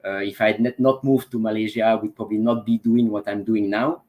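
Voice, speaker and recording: A man's voice: fairly low pitch, fairly even intonation, brisk, a little mellow. A Belgian man in his forties, a transformation coach talking as an interview guest, with frequent fillers and restarts. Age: 40s. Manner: hesitant, many fillers and restarts. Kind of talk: casual interview talk.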